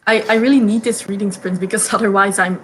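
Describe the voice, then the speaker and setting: A young woman from Belgium whose first language is Dutch, hosting a casual reading chat over a video call, chatty and quick to stumble over her first words. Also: medium pitch, steady tone, a bit bright, a little hiss or hum, low-quality recording. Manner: chatty, repeats words when starting sentences, laughs often. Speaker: host of reading sprints. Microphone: video call mic.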